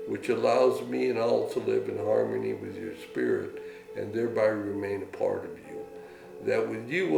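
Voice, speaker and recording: Gravelly-voiced man, solemn and measured. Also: fairly low pitch. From United States. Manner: slow, solemn prayer reading. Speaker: guest, writer and elder spokesman. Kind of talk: storytelling, then reading a prayer aloud. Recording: echoey room with music behind.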